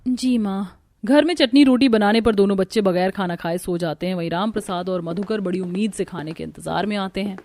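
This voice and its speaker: A woman's voice: mid-range voice, a little fast, few pauses, a little mellow, dry recording, studio quality. A woman narrator reading a scripted story.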